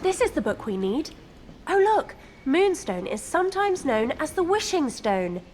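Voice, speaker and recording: An actress playing a bossy, bookish teenage schoolgirl in a video game, prim and brisk with an English accent. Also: high voice, big pitch swings. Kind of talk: video game cutscene acting. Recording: slight hall reverb, soft game music.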